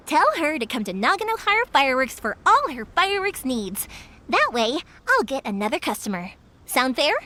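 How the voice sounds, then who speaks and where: Animated, high-voiced cheerful young woman. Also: full character voice. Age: young adult. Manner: chatty, upbeat, friendly. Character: cheerful young woman fireworks maker, big-sisterly and easygoing. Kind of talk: English video game character voice acting.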